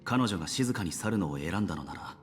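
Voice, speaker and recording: A man's voice: low-pitched, expressive, rapid-fire. A man voicing a serious, reserved, protective young man in an anime-style game, with restrained delivery. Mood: grave, thoughtful. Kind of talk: Japanese anime game voice acting.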